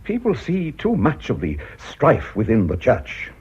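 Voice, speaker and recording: A British actor playing a troubled, principled church canon in a radio drama, gentle but stubborn. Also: male voice, mid-range voice, huge pitch swings, a little slow, slightly rough, heavily acted. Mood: troubled, earnest. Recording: muffled, dull radio drama recording.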